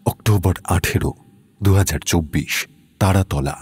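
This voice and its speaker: A man's voice: low-pitched, moderate pace, a little mellow, breathy edge. A man reading scripted narration for an audio detective story. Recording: music under the voice.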